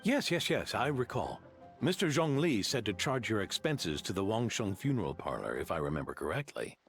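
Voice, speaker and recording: A man's voice: on the low side, big pitch swings, normal speed, over music. An actor playing a genial, devout old grandfather who runs a guest house, speaking in a hearty, courteous way in a video game. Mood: warm, welcoming. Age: older. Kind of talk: video game character dialogue.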